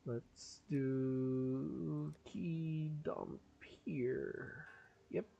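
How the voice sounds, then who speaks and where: Slow-talking male game streamer, strained voice. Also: medium pitch, gravelly, dark tone, dull audio. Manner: chatty, explains build details, laughs often. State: voice strained, holding back a cough. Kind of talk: live gameplay commentary.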